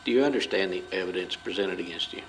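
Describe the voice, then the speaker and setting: Stern, low-voiced police interrogator, played by a man, noisy recording. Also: quick pace, a bit bright. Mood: stern, accusing. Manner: formal, procedural questioning. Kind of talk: audio drama acting. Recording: clearly noisy background.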